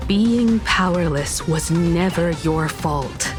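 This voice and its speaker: An actress playing a calm, compassionate young heroine in a video game, speaking gently but firmly. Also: female voice, lowish voice, takes it slowly, music in the background. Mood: earnest, consoling. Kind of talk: dramatic video game voice acting.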